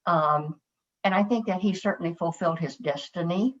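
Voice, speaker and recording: An older woman, a mother, speaking gentle, measured words at a memorial over a video call. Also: fairly low pitch, muffled recording. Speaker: family member speaking at a memorial. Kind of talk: heartfelt memorial remarks.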